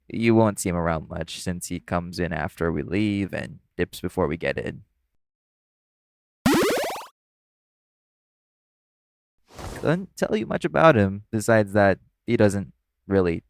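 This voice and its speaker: An easygoing club performer played by a man talks in a low voice with plenty of gravelly fry, recorded close and dry. He is playful and teasing, speaking casually as if to a coworker in an intimate audio roleplay. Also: dry recording. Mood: relaxed, confiding.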